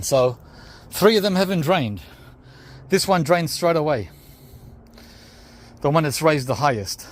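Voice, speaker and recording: A man whose first language is Greek, hosting a gardening vlog outdoors, tired after digging, explaining each step and calling viewers guys. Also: on the high side. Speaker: gardening channel host. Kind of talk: casual vlog talk to camera.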